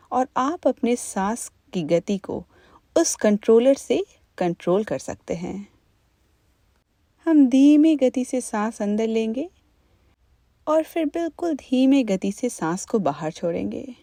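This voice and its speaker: A woman leading a guided meditation as an instructor, in a calm, gentle, unhurried manner. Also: fairly high pitch, vocal fry, dry recording, studio quality.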